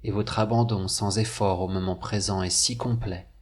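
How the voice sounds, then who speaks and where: Low-pitched male meditation narrator. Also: fairly even intonation, darkish tone, husky. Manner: measured, deliberate phrasing with pauses. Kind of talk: scripted guided meditation narration.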